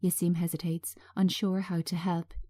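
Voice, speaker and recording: A woman narrating a screenplay in a measured, scripted reading style. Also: fairly low pitch, level tone, mellow. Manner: measured, present-tense scene reading.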